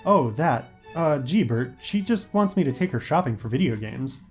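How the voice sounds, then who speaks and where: A man's voice: mid-range voice, sing-song, moderate pace, natural voice. A man voicing a nervous, skeptical, wisecracking teenage schoolboy in an audio story with music behind. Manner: flustered, quipping.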